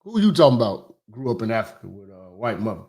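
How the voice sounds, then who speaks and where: A man hosting a comedic commentary, talking loud, profane and animated, sometimes shouting. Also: lowish voice, quick pace, breathy edge. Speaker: commentary host.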